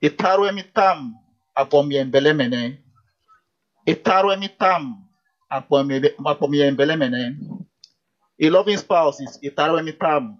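A Nigerian man calling in to a radio show, speaking politely and deliberately. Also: a little high, dull audio. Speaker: radio caller. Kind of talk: phone-in call.

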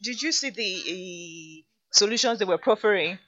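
A woman's voice: medium pitch, lively intonation, moderate pace, fairly bright tone. Effusive woman presenter. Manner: effusive, repeats phrases for emphasis. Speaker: radio presenter visiting a school. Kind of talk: lively on-location interview. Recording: dull lo-fi sound.

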